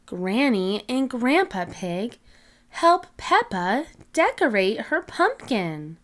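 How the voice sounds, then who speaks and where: A woman reading a picture book aloud to young children, expressive, with clearly separated phrases. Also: fairly high pitch, takes it slowly. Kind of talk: animated read-aloud storytelling for young children.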